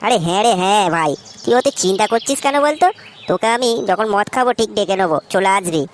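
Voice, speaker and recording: Cartoon casting: cocky, slangy young street-seller guy played by a woman, West Bengal Bengali accent, rapid delivery. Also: medium pitch, expressive, slight background noise, close to an everyday voice. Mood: scheming, upbeat. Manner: brash, slangy, swearing. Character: cocky, foul-mouthed young male street food hawker. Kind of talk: comic cartoon dialogue.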